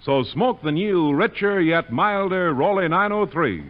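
Male 1940s ad announcer, higher-pitched, emphatic and polished, dull old radio sound. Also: expressive, unhurried, background noise. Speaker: commercial announcer. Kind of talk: 1940s radio advertising read. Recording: muffled 1940s radio broadcast.

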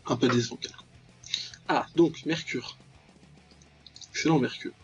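A man's voice: mid-range voice, a little slow, slightly rough. A man hosting a let's play, commenting ironically and reading game text aloud with lots of interjections. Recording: dull lo-fi mic with music behind. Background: game music.

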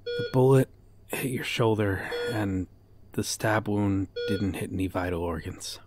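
A man playing a devoted, guilt-ridden detective in a dramatic audio roleplay, speaking in an earnest, brooding, halting way. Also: on the low side, lightly acted. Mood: worried, guilty. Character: guilt-ridden, devoted male detective hunting a serial killer.

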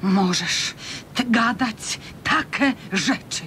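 A woman's voice: slow, fairly bright tone. An actress playing a reproachful, plain-spoken neighbour woman in a radio comedy, exclaiming and scolding in a Podlasie Polish accent. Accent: Podlasie (eastern Polish). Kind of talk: radio comedy serial acting. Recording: faint rumbling background noise.

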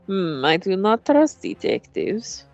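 Woman putting on a gruff old Russian man. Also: mid-range voice, big pitch swings, slow pace, darkish tone, lo-fi sound, soft background music, exaggerated voice. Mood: curt, suspicious. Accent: put-on Russian. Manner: curt, dismissive, clipped broken English.

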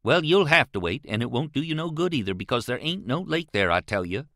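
A man's voice: mid-range voice, very animated, brisk, a bit bright, dry recording, clean studio sound. A man voicing a scornful, argumentative adolescent boy, lecturing emphatically in an audiobook. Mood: smug, firm. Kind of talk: audiobook character voice.

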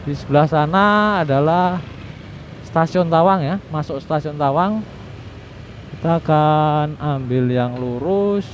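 A man giving casual, unhurried riding commentary as a motovlogger, with little asides to himself and his viewers. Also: fairly high pitch. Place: outdoors, riding a motorbike through city streets. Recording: dull lo-fi audio with clear traffic noise. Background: vehicle engines and street traffic noise.

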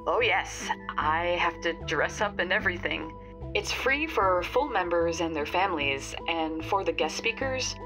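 A woman's voice: on the low side, lively intonation, a little slow, music in the background. A woman playing a helpful, slightly old-fashioned informant in an audio fiction podcast, chatty and confiding.

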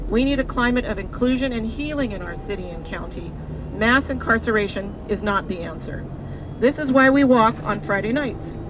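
A middle-aged woman reading a prepared speech into a handheld microphone as a community advocate aboard a moving tour bus, earnest and measured. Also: a little high. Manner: earnest, measured, slowing on key phrases. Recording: bus with road noise behind. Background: vehicle engine and road noise.

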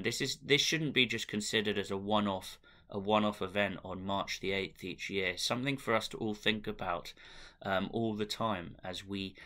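A middle-aged British man giving a semi-formal thank-you address to camera, speaking in measured phrases with pauses. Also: on the low side, bright, forceful tone. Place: small indoor room with bookshelves, at home. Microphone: webcam at desk distance.

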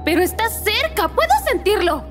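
A woman's voice: very high voice, sing-song, normal speed, over music. A woman playing a stubborn, exasperated teenage robot in a cartoon fan dub, with a Latin American Spanish accent. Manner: exasperated, insistent. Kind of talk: animated fan dub acting.

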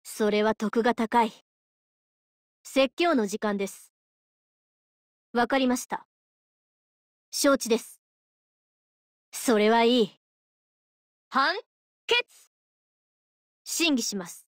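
A woman's voice: fairly high pitch, dry recording, clean studio sound. A woman voicing a stern but caring female judge of the underworld for a Japanese mobile game, formal, polite and given to lecturing. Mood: stern, judging. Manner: formal, polite, measured, preachy. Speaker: voice actress.

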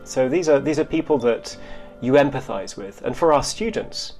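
A man's voice: mid-range voice, expressive, normal speed, darkish tone, faint music behind. A man giving explanatory interview answers as an academic historian, often repeating and rebuilding his phrases as he goes.

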